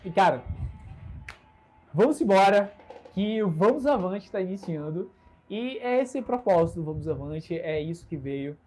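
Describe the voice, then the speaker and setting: A man's voice: sits high, animated, moderate pace, a little mellow, soft background music. A man in his twenties with a Recife accent, chatting casually and earnestly to his audience in a solo livestream from his bedroom. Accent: Recife Brazilian Portuguese. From Recife, Brazil. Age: 20s. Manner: earnest, upbeat, self-assured, gestures a lot. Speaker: entrepreneur hosting his own channel. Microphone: smartphone camera.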